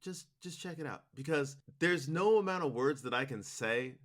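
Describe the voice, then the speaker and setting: A man's voice: mid-range voice, lively intonation, normal speed, fairly bright tone. A man talking casually and enthusiastically as an interview guest about his work, rambling in an informal way. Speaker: interview guest, audio drama producer.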